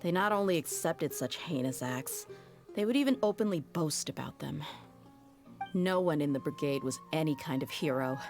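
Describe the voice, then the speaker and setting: A woman's voice: low voice, expressive, normal speed, darkish tone, soft background music. A woman voicing a tough young female mercenary in a game, talking in a frank, wry, matter-of-fact way. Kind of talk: video game cutscene dialogue.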